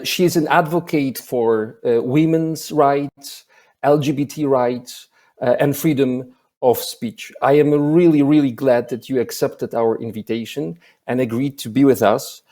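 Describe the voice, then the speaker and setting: A man's voice: mid-range voice, warm tone. A man with a Polish accent who hosts a festival interview over a video call, speaking formally with many hesitations and filler sounds. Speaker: festival program director, interviewer.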